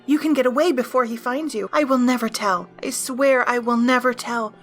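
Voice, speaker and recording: A woman's voice: fairly high pitch, fairly even intonation, normal speed, faint music behind, lightly acted. A woman playing a frightened, devoted young woman in an audio drama, pleading urgently. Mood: urgent, hushed. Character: frightened, devoted young woman urging her beloved to flee.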